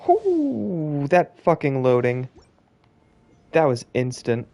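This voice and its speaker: A man with a gravelly, fry-laden voice and lively swings in pitch comments casually as he streams a game. He is blunt and sweary, quick to sound exasperated. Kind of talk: casual gaming commentary with reading of on-screen text.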